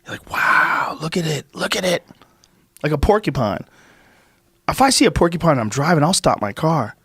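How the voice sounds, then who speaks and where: A man's voice: on the high side, normal speed, breathy edge, no room sound. Man, podcast host, stuffy from a cold, swears a lot, dry close studio mic. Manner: animated, profane storytelling. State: congested from a cold. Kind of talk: casual chat. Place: studio with brick wall. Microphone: studio mic on boom arm, headphones.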